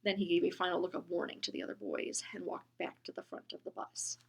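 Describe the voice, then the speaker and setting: A woman reading a children's book aloud, gentle and expressive, often dropping into a whisper. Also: fairly low pitch, fast talker, creaky, husky. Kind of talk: storybook read-aloud.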